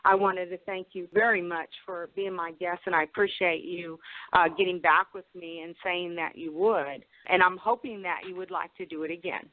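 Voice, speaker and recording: A woman's voice: fairly low pitch, fairly even intonation, normal speed. A woman hosting a talk show in a casual, earnest, advising conversational style. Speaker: show host. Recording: narrow phone-like radio sound.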